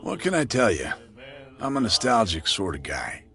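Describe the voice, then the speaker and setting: An actor playing a wary middle-aged island bartender in a video game, telling local lore with lively pitch. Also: male voice, moderate pace, creaky, exaggerated voice. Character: gruff middle-aged male island barkeeper.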